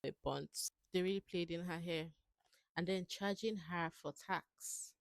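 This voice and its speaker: Woman, slightly low pitch, very dry close sound, frank, measured opinion commentary. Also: creaky, no room sound.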